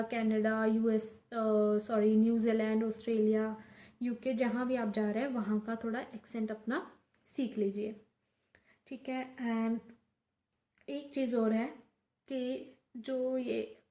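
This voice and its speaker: Young woman from India, casual vlogger, mellow higher voice, roomy echo. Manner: chatty, mixes English words into her talk, many restarts. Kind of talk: casual advice vlog. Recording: echoey room.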